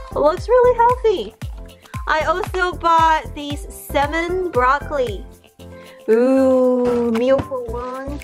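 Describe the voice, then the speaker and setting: A woman in her thirties chats brightly to a handheld camera at home, her voice high and upbeat with plenty of fillers. Background music plays under her talk throughout. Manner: chatty, upbeat, filler-heavy. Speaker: vlogger. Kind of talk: casual vlog chat. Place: home kitchen and outdoors on a bike ride. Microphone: handheld camera at arm's length.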